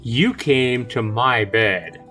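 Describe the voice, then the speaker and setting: A man acts out a prickly young woman in a visual novel. She is blunt, snarky and dismissive, giving ground only grudgingly. Soft music sits behind the voice. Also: mid-range voice, drawn-out words. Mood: indignant. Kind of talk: visual novel character read aloud.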